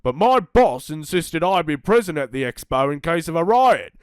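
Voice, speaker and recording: An earnest, fussy park ranger from a children's story, apologetic and a bit hesitant, as a narrator puts him on. Pitched above the reader's own voice and a touch louder, with a dry, close sound. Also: very animated, normal speed, smooth, no echo, natural voice.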